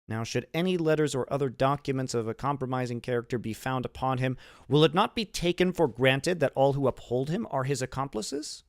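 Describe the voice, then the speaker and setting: A man's voice: mid-range voice, creaky, a little mellow, no room sound, studio quality, voice pushed up. A man voicing a scheming, self-serving ship's officer in an audiobook, insinuating and formally worded. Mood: sharp warning.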